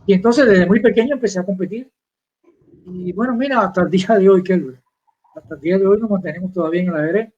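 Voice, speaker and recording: Older Cuban man, high voice, reminiscing. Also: fast, darkish tone, breathy edge, lo-fi sound. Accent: Cuban Spanish. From Cuba. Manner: rambling, proud, many restarts and fillers. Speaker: guest chess master. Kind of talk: live interview, reminiscing.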